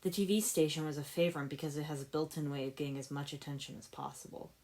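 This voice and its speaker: A woman's voice: deep voice, fairly even intonation, fast, darkish tone. A woman voicing an earnest, shrewd young male ninja hero in a story, explaining things a little ruefully. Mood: explaining, certain.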